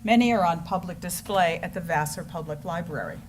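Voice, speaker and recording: A woman with a slightly low voice narrates from a script on stage. The live recording carries faint background noise. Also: a little fast. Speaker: narrator in an amateur readers theater.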